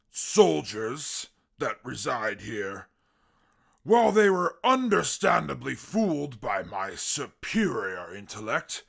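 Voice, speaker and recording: Super mutant for radio drama: haughty, formal, brutal, husky voice with wildly varied pitch. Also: male voice, sharp tone, exaggerated voice. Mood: scheming, haughty. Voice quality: gravelly, husky. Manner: pompous, formal, wordy, prone to violent outbursts. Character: eloquent, pompous, brutal super mutant with inflated intellect. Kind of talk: post-apocalyptic radio drama acting.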